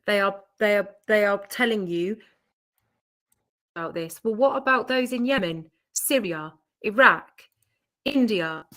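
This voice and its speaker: Woman on a podcast, medium pitch, insistent, firing off opinions and questions. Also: expressive, a little slow, fairly bright tone. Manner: emphatic, insistent, rhetorical questions. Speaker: podcast co-host. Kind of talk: opinionated casual podcast chat.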